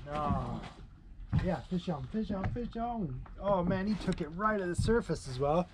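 A middle-aged man giving excited casual commentary while fishing from a small boat at sea, recorded on a head-mounted action camera. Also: high voice, moderate pace. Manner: excitable, cheers and shouts "bam" at catches. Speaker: angler vlogger. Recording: loud wind and boat noise.

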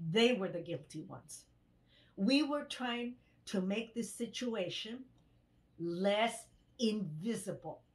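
Older woman, acting, slow delivery, earnest survivor-activist, expressive halting testimony monologue. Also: medium pitch. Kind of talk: dramatized first-person testimony monologue. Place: indoors in a home room, on a video call.

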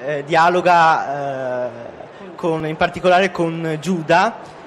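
A man's voice: on the high side, fairly even intonation, slow pace, a bit bright, slight background noise. A teenage boy who is a student actor, answering interview questions hesitantly with many fillers.

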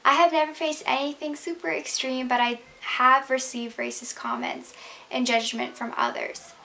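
A woman's voice: high voice, little pitch movement, normal speed, sharp tone. A young Canadian woman, Urdu her first language, earnestly explaining a prepared talk to camera from her bedroom. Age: young adult. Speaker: speaker. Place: bedroom, indoors.

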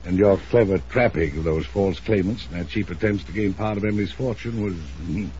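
An actor playing a stern, wealthy older gentleman in an old-time radio drama, speaking formally and deliberately. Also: low-pitched, natural voice. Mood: formal, approving. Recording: dull, faintly noisy old radio recording.

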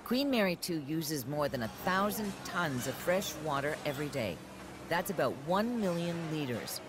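A man doing measured, scripted narration for a documentary voice-over. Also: fairly high pitch, very animated, noisy background. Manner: measured, explanatory. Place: studio voice-over. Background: music.

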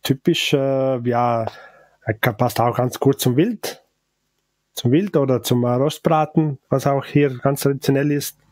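Male brewer guest, Ladin native, accented German, halting and hesitant, dry close recording. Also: medium pitch, darkish tone, dry recording. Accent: Ladin-Italian accent in German. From South Tyrol, Italy. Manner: halting, searching for words, many hesitations. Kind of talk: casual interview.

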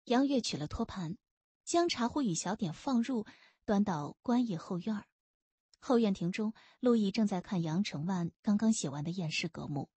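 A woman's voice: mid-range voice, expressive, normal speed, warm tone, slightly rough, no echo. A woman narrating a novel expressively as scripted storytelling. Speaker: audiobook narrator.

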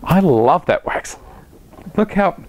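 A man's voice: a little high, big pitch swings, takes it slowly, background noise. A middle-aged man, chatty and enthusiastic, explaining a woodworking project step by step in his home workshop. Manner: chatty, enthusiastic, talks while working. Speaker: woodworking instructor.

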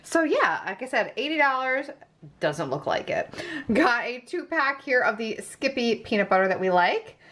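A woman's voice: fairly high pitch, sing-song, moderate pace, a bit bright. A woman chatting casually as a vlog host, talking through things in an emphatic, conversational way, recorded indoors at home on a camera. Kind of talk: casual grocery haul narration, chatty.

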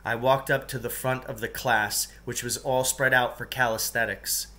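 Bright-voiced middle-aged man reading a story. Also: mid-range voice, steady tone, normal speed. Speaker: teacher reading a book aloud. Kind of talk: expressive audiobook-style storytelling in first person. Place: living room at home, indoors.

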